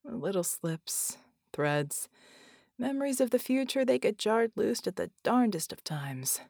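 A woman voicing a wounded man with a croaky, breathy hiss, wry and stubborn, in an audiobook. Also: mid-range voice, sing-song, measured pace, a little mellow, dry recording, studio quality, heavily acted. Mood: weary, wry.

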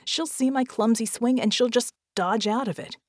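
A woman voicing a hulking, armored male hero who is despairing and reluctant, muttering and hissing in breathy whispers. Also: fairly high pitch, moderate pace, a little mellow, dry recording, studio quality, pitched above the performer's own voice, natural voice. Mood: tense hissing.

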